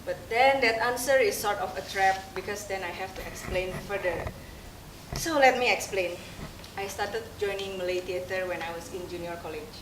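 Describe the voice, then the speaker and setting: A young woman speaks English with an Indonesian accent, giving a candid, self-mocking monologue on a theater stage. Her voice is picked up from a distance and rings in the roomy hall, over a layer of steady noise. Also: medium pitch, moderate pace. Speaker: theater performer. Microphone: distant room mic. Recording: roomy stage sound from far off, audible noise.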